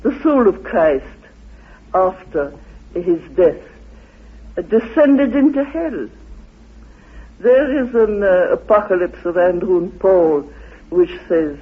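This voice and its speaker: A man being interviewed, explaining his ideas in a halting, deliberate manner, asking and answering his own questions and reciting quotations. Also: high voice, sing-song, breathy edge. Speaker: interviewee expounding his theory. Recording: phone-like, muffled old radio recording.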